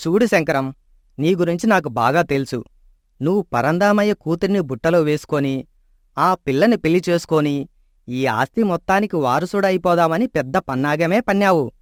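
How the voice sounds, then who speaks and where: A man's voice: on the high side, expressive, moderate pace, no room sound, studio quality, natural voice. An actor playing a greedy, scheming man in a storybook tale, coaxing and sneering. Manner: persuasive, sneering.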